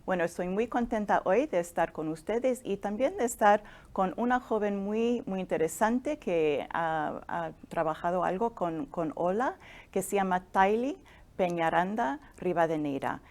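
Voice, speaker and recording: A woman's voice: medium pitch, animated, a little slow, darkish tone. A middle-aged woman hosting an interview, asking encouraging questions with small hesitations. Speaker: interviewer, deputy director of a nonprofit.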